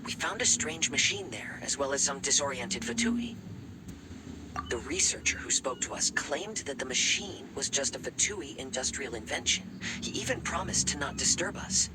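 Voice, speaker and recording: A man playing a gentle, reflective young swordsman in a video game, speaking politely and in measured phrases. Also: medium pitch, lively intonation, heavy background noise, natural voice. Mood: thoughtful.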